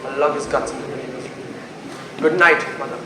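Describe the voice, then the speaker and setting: Male student actor as tormented young prince, high voice, declamatory Shakespearean stage delivery, roomy echo, music behind. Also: fairly even intonation, brisk, very noisy, lightly acted. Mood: grim, subdued. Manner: declamatory, exclaiming.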